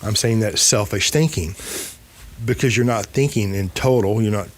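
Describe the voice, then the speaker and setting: A man's voice: fairly low pitch, moderate pace. A man in his fifties hosting a podcast, talking casually and emphatically, repeating phrases to drive a point home. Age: 50s. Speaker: podcast host.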